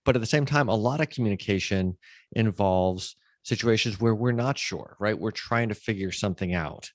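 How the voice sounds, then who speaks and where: A man's voice: on the low side, normal speed, no room sound, studio quality. A man hosting a conversational podcast interview, explaining his thoughts in a reflective way. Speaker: podcast host.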